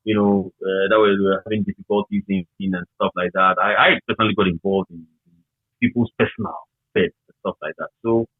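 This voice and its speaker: A man's voice: low voice, fairly even intonation, brisk, breathy edge, dry recording. A man with a Ghanaian accent reminiscing casually as an interview guest on a tinny call, emphatic and often repeating his phrases. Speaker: interview guest, former radio worker. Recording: tinny, narrow phone-like line.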